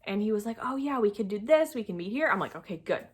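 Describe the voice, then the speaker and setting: A woman's voice: mid-range voice, animated, fast, slightly rough. A young adult woman chatting casually as she tells a personal story, acting out bits of past conversations with exasperated asides. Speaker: storytime video creator.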